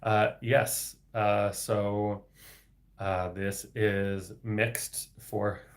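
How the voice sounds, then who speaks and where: A young man hosting a casual solo livestream, speaking with a heavy stutter full of sound repetitions and blocks and breaking into soft laughs. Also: lowish voice, flat delivery, very slow. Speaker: livestream host reading viewer questions.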